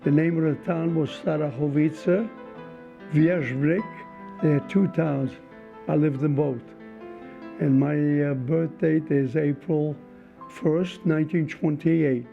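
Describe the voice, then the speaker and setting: A man in his eighties from Poland speaks slowly and carefully, going step by step. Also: fairly high pitch, fairly even intonation, gravelly, soft background music. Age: 80s. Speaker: Holocaust survivor, interviewee. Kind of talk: testimony interview. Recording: tinny phone-like sound.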